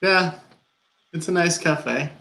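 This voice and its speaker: A man's voice: on the high side, sharp tone, heavily acted. Man acting an awkward, rambling, nervous guy. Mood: sheepish, earnest. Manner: halting, nervous, over-explaining. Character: awkward, rambling man confessing a long-held crush. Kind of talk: live play reading, naturalistic dialogue.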